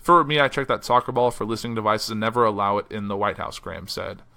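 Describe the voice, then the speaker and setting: Male podcast host, quick and bright, a bit hoarse, casual news reader. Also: on the low side. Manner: reads quotes in a flat newsy voice, then chats loosely with fillers. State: losing his voice. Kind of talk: solo news podcast, reading articles aloud. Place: house.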